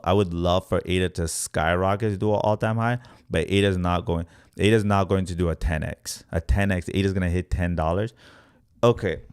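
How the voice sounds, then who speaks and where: Male host from Congo for explanatory chart commentary; deep, fry-edged voice, emphatic and repetitive delivery, often checking in with listeners. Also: no echo, clean studio sound. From born in Congo, Africa. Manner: emphatic, repeats points, checks in with "right?". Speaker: host, crypto trading educator.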